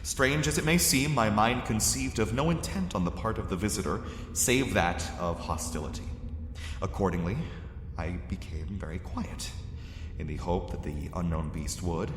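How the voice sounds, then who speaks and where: A man acting a terrified lost explorer, narrating his ordeal in formal, theatrical gothic horror style. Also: fairly low pitch. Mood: grave dread. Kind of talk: dramatic first-person gothic horror narration. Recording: echoey cavern-like reverb, faint noise.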